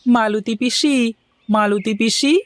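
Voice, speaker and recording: A voice actor playing an eager, food-loving young cartoon fellow with an excitable manner. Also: medium pitch, takes it slowly, no room sound. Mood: excited. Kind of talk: Bengali cartoon story dialogue.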